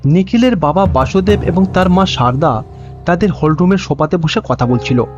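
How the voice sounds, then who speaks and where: High-voiced man narrating a story over music. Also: expressive, normal speed. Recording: dull lo-fi sound with music. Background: background music.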